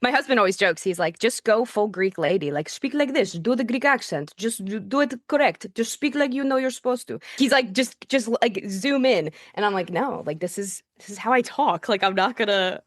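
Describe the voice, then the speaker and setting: A woman's voice: medium pitch, brisk, hardly any pauses, no echo, clean studio sound. A young adult woman from the United States, talking candidly as a guest in a casual interview, with frequent fillers. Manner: candid, animated, many "like" fillers. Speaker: guest, priestess and author.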